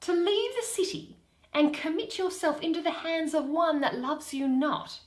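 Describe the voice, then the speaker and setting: A woman's voice: very high voice, expressive, moderate pace, roomy sound, voice pushed up. A woman playing an exasperated young Athenian man in a dramatic Shakespeare reading, emphatic and declamatory. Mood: scornful. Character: scornful young Athenian man, exasperated, spurning a lovesick pursuer.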